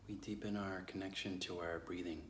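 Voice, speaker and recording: A man leading a guided meditation in a measured, unhurried way with long pauses between phrases. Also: deep voice, flat delivery, roomy sound, slight background noise. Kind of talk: guided meditation, slow instruction.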